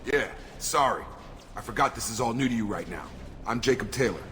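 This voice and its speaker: Male actor for a game soldier: steady, frank, informal and matter-of-fact, with music underneath. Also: mid-range voice, brisk, natural voice. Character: capable young soldier with psychic powers, steady and frank. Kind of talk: video game voice acting.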